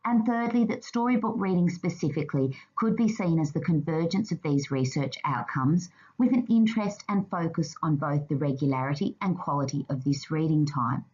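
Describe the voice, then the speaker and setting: A woman narrating a scripted slide presentation in a formal, measured reading manner. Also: sits low, a little mellow, husky, lo-fi sound.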